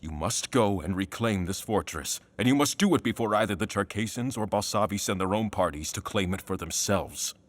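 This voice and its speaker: A man playing a solemn king in an audio drama, speaking formally and gravely. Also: on the low side, animated, fairly quick, hardly any pauses, no echo, natural voice. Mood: grave, commanding. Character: solemn king commanding his knights.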